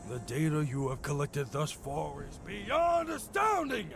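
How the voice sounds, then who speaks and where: Game eccentric inventor: high, gravel-edged, theatrical and grandly effusive, florid delivery. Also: male voice, a bit bright, heavily acted. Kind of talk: reading of a letter in character. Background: faint music.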